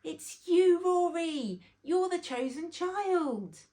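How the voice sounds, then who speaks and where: Woman voicing a lively, kindly old grandad bee. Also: very high voice, slow pace, voice pushed up, heavily acted. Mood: excited, insistent. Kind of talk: children's storybook character voice.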